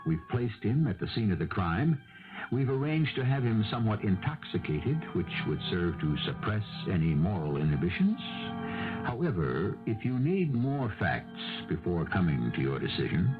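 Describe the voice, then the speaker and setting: Deep-voiced male radio mystery host, tinny recording. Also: very animated. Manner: measured, theatrical, wry. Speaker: host and narrator. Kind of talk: 1970s radio mystery hosting, scripted narration. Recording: tinny old radio broadcast. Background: music.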